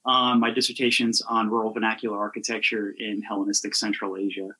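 A man's voice: on the low side, little pitch movement, quick pace, sharp tone, husky, rough recording. A young man speaking casually as a podcast guest, introducing himself. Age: young adult. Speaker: podcast guest, PhD student.

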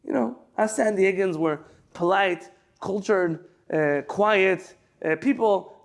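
High, gravelly rabbi teaching online. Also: male voice, takes it slowly. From San Diego, California. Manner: digressive, chatty, quotes sources then paraphrases. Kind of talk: conversational Torah class. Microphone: video call.

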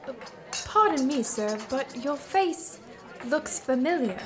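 A woman's voice: sits high, lively intonation, slow, crowd noise. A woman acting a polite, chirpy server who is eager to please, in an amateur radio play. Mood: polite, curious.

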